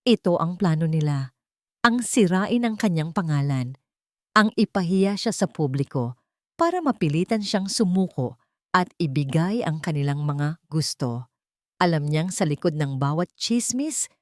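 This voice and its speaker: A Filipino woman narrates a dramatic love story from a script. Her voice sits a little low for a woman, and the sound is dry, with very little room around it. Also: darkish tone, no echo.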